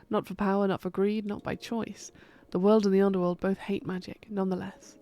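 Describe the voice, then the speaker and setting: A woman's voice: mid-range voice, warm tone, close to an everyday voice. A woman voicing an old witch and necromancer, blunt, sardonic and crude-mouthed, in an audio drama. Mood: boastful. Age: older.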